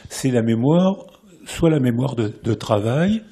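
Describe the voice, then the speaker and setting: A man with a French accent giving a public lecture in a hall, lively and repetitive, often restarting his phrases. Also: medium pitch, measured pace. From France. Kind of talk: public lecture to a live audience.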